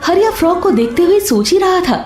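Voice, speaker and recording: A woman's voice: very high-pitched, big pitch swings, a little fast, roomy sound. A woman narrator reading a scripted story. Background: soft background music.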